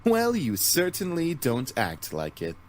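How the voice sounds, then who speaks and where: Suave, animated flirtatious gentleman demon. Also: mid-range voice, a little slow. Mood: smug. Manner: theatrical, flattering. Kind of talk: visual novel game acting.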